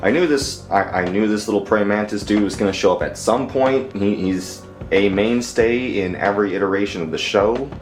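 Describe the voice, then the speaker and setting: A man giving a casual review as a commentator, halting and repeating himself for emphasis. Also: on the low side, soft background music. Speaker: comic book reviewer.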